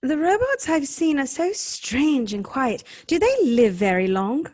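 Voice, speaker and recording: A woman's voice: high-pitched, sing-song, unhurried, darkish tone, dry recording, clean studio sound. An actress playing an earnest, tender-hearted and naive young lady in an old-time radio drama, questioning and emotive in her delivery. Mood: curious. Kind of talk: live recreation of 1930s radio drama.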